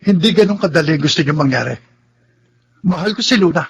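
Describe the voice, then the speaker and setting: A man's voice: on the high side, lively intonation, fairly quick, gravelly, slightly rough, exaggerated voice. An actor playing a vulnerable, earnest young Filipino man in a radio drama. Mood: bewildered, earnest. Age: young adult. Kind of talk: Tagalog radio drama acting. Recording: dramatic music underneath.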